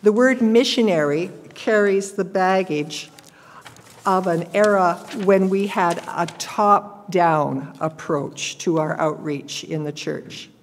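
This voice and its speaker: A woman's voice: lowish voice, slow pace, slight background noise. A woman, reading a prepared report plainly and carefully at a church lectern. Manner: plain, careful, matter-of-fact. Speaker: church member giving a report. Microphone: gooseneck lectern microphone. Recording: reverberant church hall.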